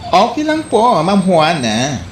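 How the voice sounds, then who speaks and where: Echoey room, swooping pitch, actor playing polite formal male stranger, ingratiating surveyor, comedy radio drama. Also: wildly swooping pitch, slow pace, exaggerated voice. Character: smooth-talking young male survey taker, scheming stranger. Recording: echoey room, faint background noise.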